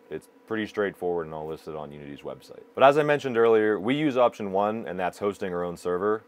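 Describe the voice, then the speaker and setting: A man's voice: sits low, a little hiss or hum. A man in his thirties giving a matter-of-fact, explanatory product review to camera. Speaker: video production company presenter. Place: small indoor broadcast control room.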